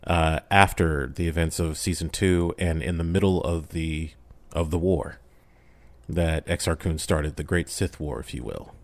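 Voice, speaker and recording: A man's voice: rumbling low, a little slow, dry recording. A man speaking casually as a podcast guest, recapping a story in an informal, slangy way with dramatic emphasis.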